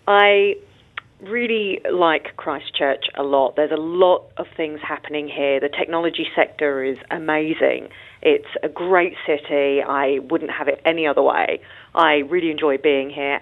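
British woman on a tinny phone line. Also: deep voice. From United Kingdom. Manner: chatty and modest, laughs easily, explains at length. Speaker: guest, international space lawyer. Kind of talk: radio interview answers.

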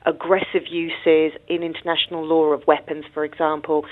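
A British woman talks through her career as a radio guest, easygoing and thorough. Her voice sits on the lower side, and it comes through a thin, phone-like line. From United Kingdom. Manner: chatty and modest, laughs easily, explains at length. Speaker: guest, international space lawyer. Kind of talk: radio interview answers. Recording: tinny phone-line sound.

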